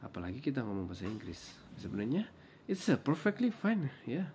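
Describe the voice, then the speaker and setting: A man's voice: mid-range voice, very animated, brisk, darkish tone, slight background noise. A man with a Javanese accent giving casual vlog tips, switching between English and Indonesian with many hesitant fillers. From Java, Indonesia. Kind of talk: casual commentary.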